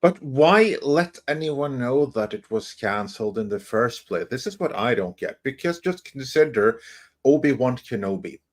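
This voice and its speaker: A man's voice: mid-range voice, animated, normal speed. A man hosting a panel talk, arguing his points conversationally with emphatic, questioning speech. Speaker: panel host. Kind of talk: opinionated panel discussion, speculation.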